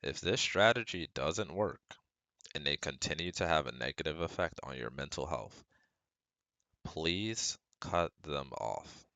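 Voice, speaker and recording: A man's voice: a bass voice, lively intonation, measured pace, pushed and bright, no echo, exaggerated voice. A man playing a caring, protective best friend who gives firm, earnest advice straight to the listener in an ASMR roleplay. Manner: soothing, earnest, instructive, speaking directly to listener.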